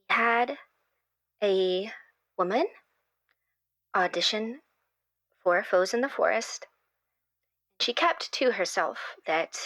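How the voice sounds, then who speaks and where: A woman playing an earnest, gushing playwright in an improvised audio drama, speaking in a halting, effusive way as if anxious to please. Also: medium pitch, sharp tone, no echo. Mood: nervous, hesitant. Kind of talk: improvised actual-play interview acting.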